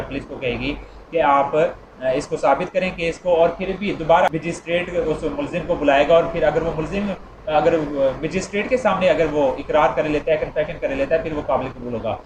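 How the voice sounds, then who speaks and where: A man with a Pakistani accent gives an informal explanatory lecture, repeating and rephrasing his points and mixing in English legal terms. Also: on the high side. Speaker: law lecturer. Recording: roomy, dull, clearly noisy recording.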